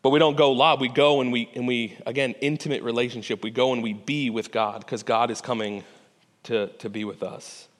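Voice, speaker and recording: A man preaching in a church, his voice ringing out with a long reverb tail. He talks earnestly and conversationally, stumbling over restarts and tossing in "right?" to the congregation. His pitch sits in an ordinary middle range. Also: steady tone, a little fast. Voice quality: loud voice. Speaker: pastor. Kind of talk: sermon. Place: church sanctuary. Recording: hall reverb.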